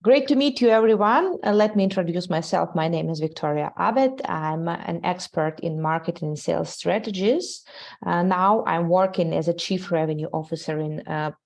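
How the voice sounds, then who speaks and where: Ukrainian-accented woman hosting a webinar, gravelly. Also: on the low side, darkish tone. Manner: halting, many "uh" fillers, non-native grammar. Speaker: webinar host, sales executive. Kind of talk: live webinar presentation.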